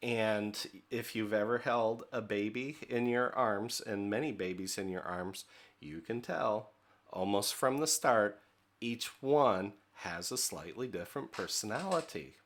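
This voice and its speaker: Middle-aged American man, lower register, leadership trainer, chatty and informal, lots of fillers. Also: a little slow, a bit bright. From United States. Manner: conversational, many fillers, asks "okay?". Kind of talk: recorded training lecture.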